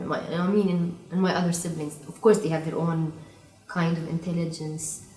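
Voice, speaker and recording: Low, mellow woman in an echoey room. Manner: thoughtful, searching for words. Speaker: workshop participant. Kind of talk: reflective personal sharing. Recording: echoey room, distant mic.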